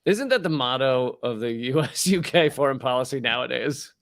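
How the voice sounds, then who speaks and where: A man's voice: mid-range voice, expressive, normal speed, no room sound, clean studio sound. A man co-hosting a comedy podcast, rambling in a wry tone and laughing between lines. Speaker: podcast co-host. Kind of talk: casual comedy chat.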